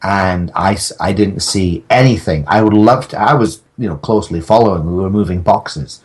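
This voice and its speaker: Low-voiced man rambling jokily. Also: moderate pace, a little mellow. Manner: rambling, joking, mock-defiant. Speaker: podcast guest, audio drama maker. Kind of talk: casual comic podcast chat.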